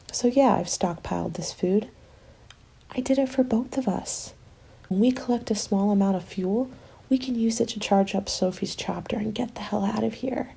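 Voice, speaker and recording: Breathy, taunting woman survivor, acted by a woman. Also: medium pitch, dark tone. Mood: scheming, dismissive. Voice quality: breathy, often slipping into whisper. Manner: taunting, intimate, self-justifying. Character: cynical, defiant woman survivor hoarding food, selfishly scheming. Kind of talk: ASMR roleplay.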